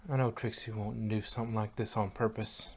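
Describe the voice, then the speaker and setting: A man's voice: lowish voice, flat delivery, brisk, a little mellow, close to an everyday voice. A man voicing an earnest, guilt-ridden female farm pony in a fan fiction reading. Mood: guilty, regretful. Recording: noisy, distant-sounding home recording.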